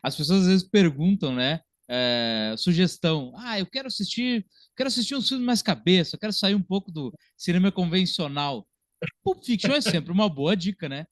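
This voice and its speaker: A man, co-hosting a casual film discussion in an animated, chatty way. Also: high-pitched, normal speed, no room sound, studio quality. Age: early 30s. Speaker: podcast co-host and film critic.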